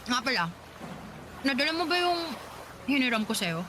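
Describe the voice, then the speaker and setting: A woman's voice: high voice, sing-song, normal speed, background noise. An actress playing a brash, chatty young college girlfriend who complains and dreams of riches in a Tagalog radio drama. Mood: chatty, needy.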